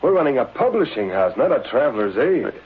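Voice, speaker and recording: An actor playing a callous, sarcastic crooked business partner in an old radio drama, curt and slangy. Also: male voice, mid-range voice, big pitch swings, brisk, breathy edge. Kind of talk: 1930s-40s radio drama acting. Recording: muffled old radio recording.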